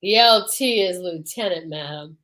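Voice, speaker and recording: A woman playing a gruff, deadpan male police lieutenant in an old-time radio style. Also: on the low side, normal speed, background noise, pitched below the performer's own voice, lightly acted. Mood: dry, officious.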